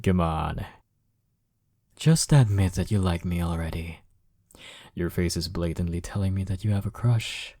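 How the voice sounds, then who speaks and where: Deep-voiced, cocky flirtatious college rival acted by a man. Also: no echo, clean studio sound, heavily acted. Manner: smug, flirtatious, drawling teasing with soft chuckles. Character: cocky, teasing male college rival smitten with listener. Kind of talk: intimate romantic audio roleplay.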